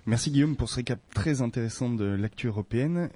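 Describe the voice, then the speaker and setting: A man's voice: mid-range voice, quick pace, mellow. A man hosting a local radio show, handing over to columnists and wrapping up with thanks and some hesitant fillers.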